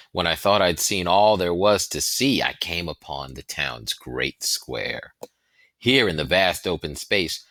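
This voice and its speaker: A man reading a historical novel aloud as scripted narration, with deliberate, dramatic phrasing and stressed pauses. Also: very deep voice, sharp tone, no room sound. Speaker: audiobook reader.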